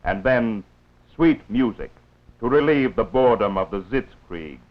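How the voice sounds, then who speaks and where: A man's voice: mid-range voice, lively intonation, unhurried. A man narrating a 1940s wartime newsreel in a grave, oratorical, scripted style. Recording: dull lo-fi 1940s film soundtrack with music.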